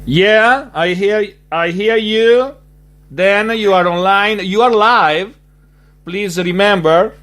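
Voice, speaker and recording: A man's voice: high voice, slow pace, a bit bright. An Italian man with an Italian accent hosting a casual interview, speaking hesitantly with many fillers. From Italy. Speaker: podcast host.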